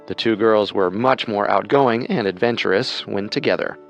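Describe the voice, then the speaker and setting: Low-voiced man narrating true crime. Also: normal speed, creaky, highs cut off. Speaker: co-host narrator. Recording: music under the voice.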